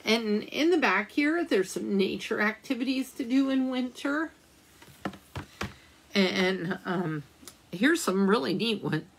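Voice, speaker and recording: Woman, storytime librarian, read-aloud, gentle questions, gravelly. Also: mid-range voice, animated, takes it slowly, a little hiss or hum. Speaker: children's librarian. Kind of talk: reading a picture book aloud for storytime.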